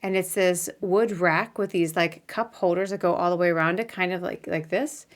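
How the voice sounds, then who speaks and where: A woman hosting a casual live video interview, chatty and quick to laugh. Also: fairly low pitch. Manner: chatty, laughs often, apologetic at start. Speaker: podcast host.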